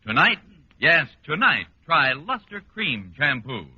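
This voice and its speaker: A man's voice: fairly high pitch, very animated, a little slow, over music. An American man delivering an emphatic 1940s radio commercial as announcer. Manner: emphatic, salesmanlike. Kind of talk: 1940s radio advertising pitch. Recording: dull vintage radio recording.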